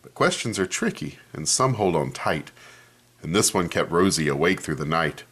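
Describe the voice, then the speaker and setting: A man reading a children's picture book aloud in an expressive storytelling manner, commenting on the pages as he goes. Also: low-pitched, normal speed, slightly rough.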